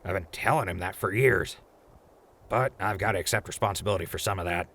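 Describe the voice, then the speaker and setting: A low-pitched, sardonic ghoul traveller acted by a man talks plainly and wryly, as part of a wasteland radio drama crew. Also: a little fast, a bit bright. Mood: wry, uneasy. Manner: wry, plain-spoken. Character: wry, foul-mouthed older ghoul companion. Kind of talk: post-apocalyptic audio drama acting.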